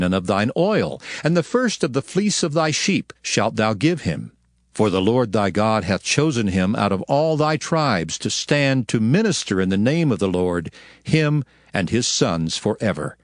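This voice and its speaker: An actor voicing a solemn prophet proclaiming ancient law in a declamatory, emphatic dramatized scripture reading. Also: male voice, medium pitch, dry recording, studio quality, close to an everyday voice. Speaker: scripture narrator.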